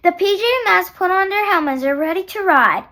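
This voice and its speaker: High, bright young female storyteller. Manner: sing-song, halting, addresses young listeners with questions. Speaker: storyteller reading a picture book aloud. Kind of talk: children's story read-aloud.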